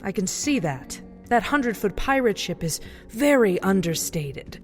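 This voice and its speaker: A woman playing a haughty, indignant noble lady in an audio drama, sharp and sarcastic. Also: mid-range voice, very animated, a little slow, soft background music. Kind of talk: fan-made audio drama.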